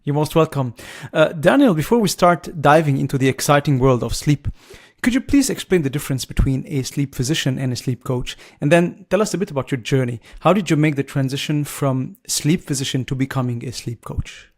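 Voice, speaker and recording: Creaky-voiced male podcast host. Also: a little high, expressive, fairly quick, darkish tone. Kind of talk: prepared introduction and interview questions.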